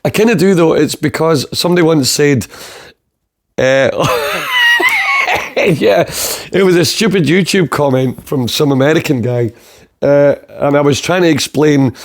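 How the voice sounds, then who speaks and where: A man from the south of Scotland hosting a podcast in casual chat, rambling, swearing freely and laughing at his own jokes. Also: fairly high pitch. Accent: southern Scottish. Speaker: podcast host.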